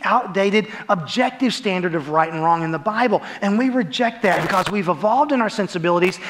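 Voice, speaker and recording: High-pitched American man preaching. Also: a bit bright, slight background noise. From United States. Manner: conversational, emphatic, rhetorical tag questions. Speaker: pastor. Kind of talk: sermon. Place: large dark church auditorium, on stage.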